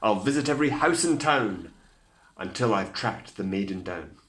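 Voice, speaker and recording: A man voicing a grand, excitable young storybook prince in a declamatory, theatrical manner. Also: fairly low pitch, moderate pace. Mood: proclaiming.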